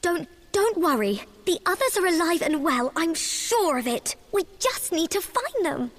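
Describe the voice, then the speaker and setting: A tiny, sweet female helper from a fantasy game. Her girlish voice is very high and swoops with eager feeling. She is perky and earnest. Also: big pitch swings, measured pace, big-room sound, exaggerated voice. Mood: anxiously hopeful. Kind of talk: fantasy video game voice acting.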